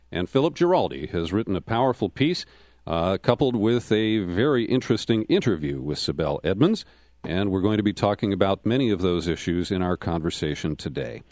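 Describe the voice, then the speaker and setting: A man hosts a political talk radio show in a measured, unhurried voice. His studio sound is dry and close, with no room echo. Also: fairly low pitch, a little mellow. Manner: measured, reads out web addresses slowly. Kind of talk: hosting a political interview.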